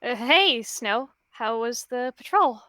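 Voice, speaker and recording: Woman playing a jittery young she-cat, stammering, light high voice, dry close sound. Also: animated, slow, bright, forceful tone, no echo, full character voice. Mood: nervous. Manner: hesitant, stammering.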